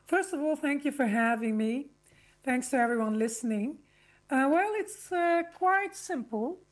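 High-voiced, articulate woman from Lebanon. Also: expressive, unhurried. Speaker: interview guest, activist speaker. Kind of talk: personal account in an interview.

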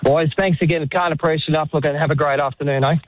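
A man's voice: on the high side, steady tone, fast talker, no room sound. A man with an Australian accent, a firefighter speaking hesitantly on a call to a radio show. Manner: hesitant, with many fillers. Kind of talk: phone interview. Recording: tinny phone line.

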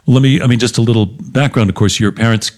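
A man's voice: medium pitch, steady tone, quick pace. A man hosting a music interview, putting questions to his guest in a conversational style. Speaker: interviewer, host.

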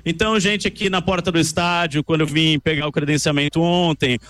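A man with a high voice works the crowd outside a stadium as a live radio reporter. He talks in an easy, chatty way. His voice is recorded outdoors on a hand mic. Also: fairly even intonation, a bit bright. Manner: chatty, switches to slow careful English. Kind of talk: live street interviews.

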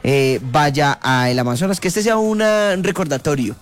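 High-pitched Colombian male radio host, music behind. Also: expressive, normal speed. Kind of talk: casual radio chat with read promotional segments. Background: background music.

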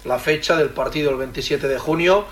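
A man's voice: fairly high pitch, brisk. A man giving a conversational live sports report on the radio.

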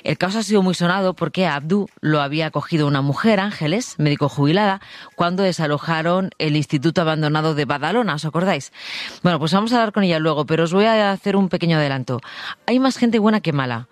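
Una mujer con acento castellano que presenta un programa de radio en tono conversacional, dirigiéndose directamente a los oyentes y recalcando palabras. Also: female voice, low-pitched, quick pace, dry recording. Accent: Castilian Spanish. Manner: addresses listeners directly, emphatic repetition. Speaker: radio show host. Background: background music.

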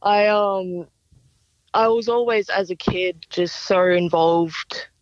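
Slow, soft-spoken young woman. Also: on the low side, no room sound. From Australia. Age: young adult. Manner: soft-spoken, hesitant, with many fillers. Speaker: singer-songwriter guest. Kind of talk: radio interview. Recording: dull remote line.

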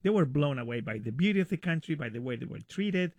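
A middle-aged man from Argentina with an Argentine accent, speaking as a guest in a casual radio interview, enthusiastic and a little halting. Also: fairly high pitch, expressive, no room sound. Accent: Argentine Spanish. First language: Spanish. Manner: enthusiastic, warm storytelling with hesitations.

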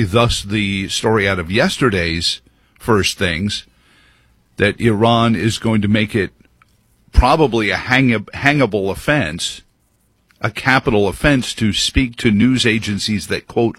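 A man's voice: on the low side, fairly bright tone, slightly rough, no room sound. A man hosting a talk radio show, giving a rambling, opinionated monologue full of fillers and restarts.

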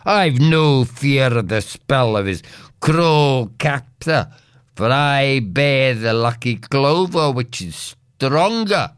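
A man's voice: mid-range voice, lively intonation, slow, smooth, no room sound, studio quality. A man voicing an eager, bold nobleman, speaking in urgent archaic words.

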